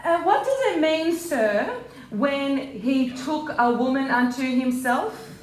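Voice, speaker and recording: A woman plays a curious schoolchild on stage, high-pitched and slow, asking with mock innocence. The echoey room has clear noise in it. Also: expressive. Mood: innocent curiosity. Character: inquisitive schoolchild questioning a religious teacher.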